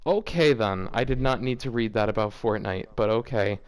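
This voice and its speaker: A man's voice: lowish voice, normal speed, smooth. A man hosting a casual read-aloud, often breaking off to comment in amused, exasperated disbelief. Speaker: host reading fan fiction aloud for a video.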